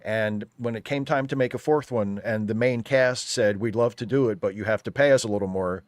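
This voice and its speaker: Lower-voiced man, close dry sound. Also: brisk, no room sound. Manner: emphatic, building an argument. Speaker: returning guest commentator on a live show. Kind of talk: casual opinionated panel chat. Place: remote video call.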